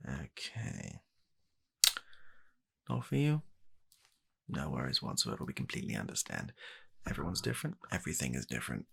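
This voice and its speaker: A British man softly playing a kind, polite matchmaker in an ASMR roleplay, hesitant and courteous, with a breathy, gravelly voice close to the microphone. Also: rumbling low, huge pitch swings, normal speed, long pauses, heavily acted. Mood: reassuring, courteous. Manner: hesitant, courteous, reassuring salesman patter. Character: kind, polite British gentleman running a dating agency. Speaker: ASMR performer.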